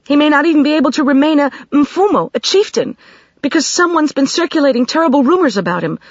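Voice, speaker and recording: A woman's voice: high-pitched, expressive, quick pace, no room sound, pitched above the performer's own voice. A woman reading an audiobook, voicing an anxious young woman who blurts everything out in a rush, pleading and upset. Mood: frantic. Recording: dry, slightly dull studio recording.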